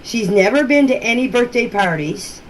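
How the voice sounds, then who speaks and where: A woman's voice: on the high side, animated, moderate pace. Plain-spoken woman in a noisy voice message. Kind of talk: informal explanatory talk in voice messages. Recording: noisy amateur voice-message recording.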